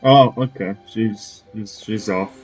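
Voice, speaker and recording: A man's voice: fairly low pitch, slow pace, darkish tone. A man giving casual gameplay commentary, reacting in short fragments as he plays. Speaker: let's player. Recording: faint game music behind.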